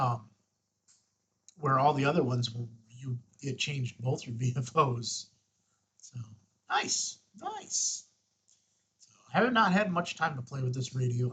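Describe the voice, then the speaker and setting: A man with a husky, rough-edged voice presenting a casual step-by-step tutorial, informal and thinking aloud as he goes. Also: mid-range voice, a bit bright. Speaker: host, ham radio tutorial presenter.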